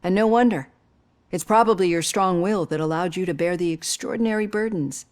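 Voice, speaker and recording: A woman playing a calm, caring doctor. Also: fairly low pitch, natural voice. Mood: earnest. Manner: measured, earnest, reassuring.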